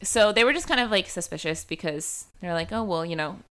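A woman's voice: lowish voice, lively intonation, brisk, clear, resonant tone. A young woman hosting a true-crime podcast, telling a case in a casual chatty way, full of "like" and "um" fillers. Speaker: podcast host.

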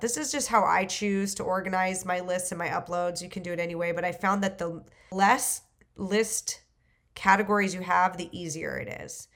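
Stuffy-nosed woman with a gravelly voice. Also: fairly low pitch. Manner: casual, thinking aloud with fillers and restarts. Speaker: real estate investor. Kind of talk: screen-recorded software walkthrough.

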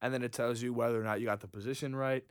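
A young man from New York City co-hosting a casual chat, full of quick quips and teasing asides. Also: medium pitch, fairly even intonation, clean tone, no room sound, studio quality. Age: young adult. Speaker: podcast co-host, college student.

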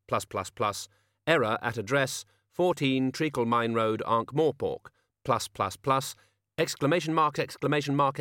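A man's voice: medium pitch, fairly bright tone, no echo, clean studio sound, lightly acted. A man voicing a malfunctioning thinking machine that repeats itself in a mechanical, stuttering way. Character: glitching thinking machine spouting nonsense.

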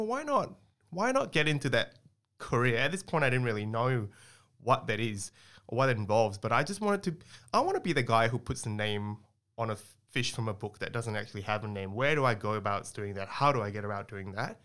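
A man's voice: fairly low pitch, fairly bright tone. A young man from Singapore, a scientist guest, explaining his work in a chatty way with lots of fillers and restarts. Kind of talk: casual interview talk.

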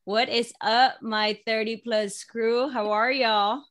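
A woman's voice: on the high side, fairly even intonation, slow, fairly bright tone. Upbeat woman hosting a group chat. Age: 30s. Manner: upbeat, cheers guests on. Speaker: podcast host.

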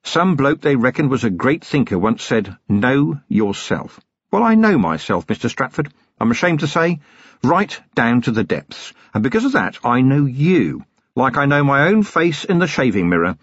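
A man voicing a weary, gruff city police commander who talks in a slow, deliberate, menacing way. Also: mid-range voice, very animated, dry recording, highs cut off, pitched above the performer's own voice. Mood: contemptuous.

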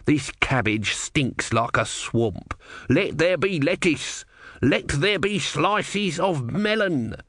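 A man's voice: on the high side, expressive, slow, fairly bright tone, no echo, clean studio sound, voice pushed up, full character voice. A man voicing a grumpy, sardonic god trapped in the body of a tortoise, snappish and irreverent in an audiobook. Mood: irritable, complaining.

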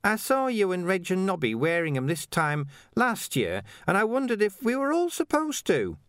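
A man voicing a nervous, eager young male watch corporal who talks in a high, anxious rush. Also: huge pitch swings, moderate pace, fairly bright tone, clean tone, dry recording, studio quality, exaggerated voice. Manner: high, anxious, stammering over itself.

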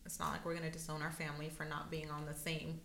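A woman's voice: deep voice, monotone, quick pace, gravelly, a bit bright, a little hiss or hum. A woman hosting an interview, encouraging and affirming her guest. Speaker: podcast host. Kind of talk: casual interview. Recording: echoey room.

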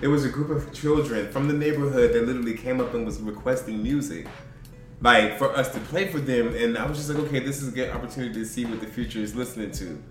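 A man's voice: medium pitch, fast. A man with a mid-range voice tells an easygoing story in a casual podcast chat, using plenty of slang. The room around him is echoey, and faint music plays underneath. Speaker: podcast co-host.

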